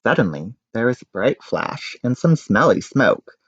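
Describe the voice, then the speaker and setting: A man reading a storybook aloud to children in an expressive narrating style. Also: medium pitch, moderate pace, husky, muffled recording. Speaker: storybook reader.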